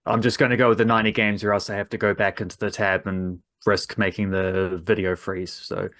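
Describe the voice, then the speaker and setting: A man's voice: on the low side, little pitch movement, fairly quick, a bit bright, dry recording. A man co-hosting a sports podcast, talking in a dry, wry, slightly hesitant way. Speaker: podcast co-host. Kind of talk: casual sports podcast chat.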